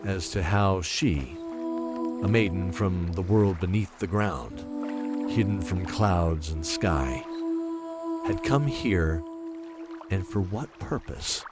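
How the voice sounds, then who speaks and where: Low voiced guy narrating fantasy audio drama, unhurried and dramatic, loud music underneath. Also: lively intonation. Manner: measured, dramatic storytelling. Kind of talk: scripted fantasy story narration.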